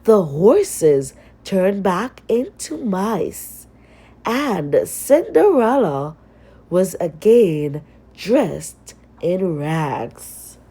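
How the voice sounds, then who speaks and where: A woman reading a children's story aloud as scripted narration, expressive and with dramatic pauses. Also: mid-range voice, husky.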